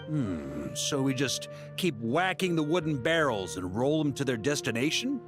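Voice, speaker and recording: Man acting a hearty, animated knight commander. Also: mid-range voice, normal speed, music in the background, natural voice. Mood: amused, reminiscing. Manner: jovial, folksy, clipped storytelling. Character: hearty, boisterous adult male knight commander, fond of drink. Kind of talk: video game voice acting.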